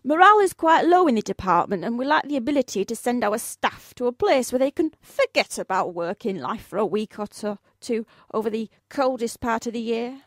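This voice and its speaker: A courteous but demanding office boss played by a woman in a radio play. The voice sits fairly high and is recorded close and dry, with formal, officious phrasing that treats big requests breezily. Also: animated, brisk, few pauses, no room sound. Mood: brisk, breezy.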